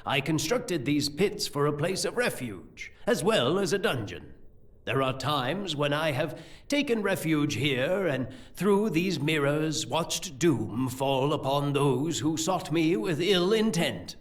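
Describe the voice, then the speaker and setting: A man playing a learned, scheming sorcerer-priest in a fantasy audio drama, speaking in a deliberate, formal, explanatory way. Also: fairly high pitch, animated. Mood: calm, ominous.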